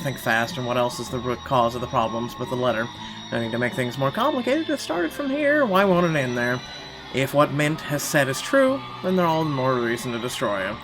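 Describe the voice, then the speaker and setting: A man's voice: medium pitch, poor audio. A man playing through a visual novel, reading its narration aloud expressively and dropping casual, sweary remarks. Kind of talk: gaming playthrough, dramatic read-aloud narration. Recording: music playing behind the voice. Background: game music.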